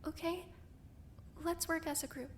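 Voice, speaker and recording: A woman's voice: very high voice, steady tone, a little slow, a little hiss or hum. A woman voicing an upbeat young woman who leads a group meeting in a peppy, organized, slightly nervous way, in a fan audio drama.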